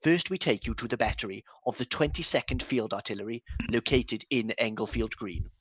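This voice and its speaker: An actor playing a radio announcer who reads battlefield bulletins in an even, measured way. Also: male voice, mid-range voice, breathy edge, dry recording. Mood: grave. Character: steady male radio news announcer. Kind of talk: scripted bulletin reading.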